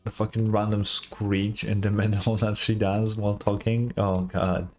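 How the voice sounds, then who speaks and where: Low-voiced, sweary male game streamer. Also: level tone, measured pace, darkish tone, soft background music. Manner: informal, sweary, wry. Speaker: gaming streamer. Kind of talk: casual game reaction commentary.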